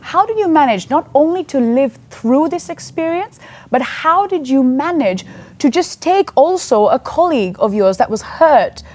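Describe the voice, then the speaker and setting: A woman's voice: high voice, expressive, moderate pace, darkish tone. A woman in her thirties from Sri Lanka, telling a personal story in an earnest, conversational sermon. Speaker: church speaker.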